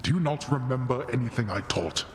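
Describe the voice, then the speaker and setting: A man's voice: mid-range voice, expressive, fairly quick, slight background noise, close to an everyday voice. A man voicing a stern, imperious older god of the dead who scolds curtly in a video game. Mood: scolding. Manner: curt, scolding, cold. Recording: game audio with loud music.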